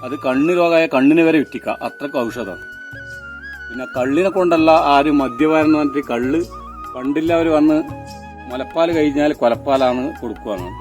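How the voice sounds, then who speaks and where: A man with a somewhat high voice speaks in a Kannur accent from northern Kerala. He explains his working trade informally, as a tapper showing how it is done. Instrumental music plays clearly behind him. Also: fairly quick, a little mellow. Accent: northern Kerala (Kannur) Malayalam. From Kannur region of Kerala, India. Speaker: toddy tapper. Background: instrumental background music.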